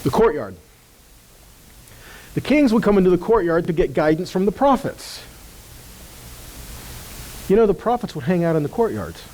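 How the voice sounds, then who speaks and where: Mellow-voiced man teaching scripture. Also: high-pitched, sing-song, moderate pace, pauses often, slight background noise, low-quality recording. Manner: conversational, checks in with listeners with "Okay?". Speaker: ministry teacher.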